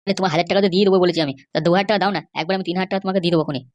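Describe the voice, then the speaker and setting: A woman's voice: fairly low pitch, fairly even intonation, darkish tone, no room sound, natural voice. A woman voicing a scheming, pleading young runaway man in a comedy cartoon, with a pushy, wheedling manner. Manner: wheedling, pushy, fast-talking.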